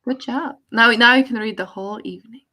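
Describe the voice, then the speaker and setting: A woman's voice: on the high side, a little fast, pushed and bright. A woman from Poland chatting casually as co-host of a livestream, informally reading out viewer comments. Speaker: live stream co-host.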